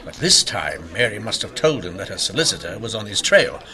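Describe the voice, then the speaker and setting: An actor playing a stern, driven Victorian inspector in a radio mystery, with emphatic, intense delivery. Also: male voice, lowish voice, sing-song, brisk, bright, forceful tone, heavily acted. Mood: urgent explaining. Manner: emphatic, intense, clipped declarations. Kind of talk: old-time radio mystery acting.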